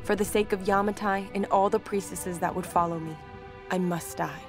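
An actress playing a troubled, dutiful young priestess of an ancient kingdom in a video game, speaking in a measured, formal way. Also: female voice, mid-range voice, steady tone, lightly acted. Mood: grim, resolute. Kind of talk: dramatic scripted game narration. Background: music.

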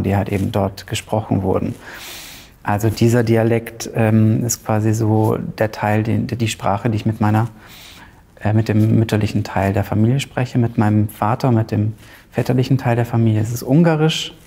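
A man's voice: fairly low pitch, vocal fry, mellow. A man in his thirties from Romania, a playwright answering interview questions hesitantly with frequent fillers, in a large ornate hall on a lapel mic. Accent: Zipser German dialect. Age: 30s. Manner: hesitant, pauses often with fillers.

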